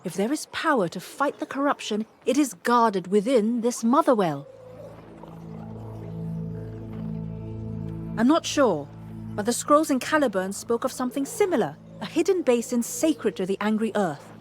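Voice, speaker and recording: Female scholar-adventurer, fairly high voice, highly animated, earnest, articulate, dramatic game dialogue. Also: moderate pace, faint music behind. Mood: thoughtful, intent. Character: eager, scholarly female fantasy adventurer ally.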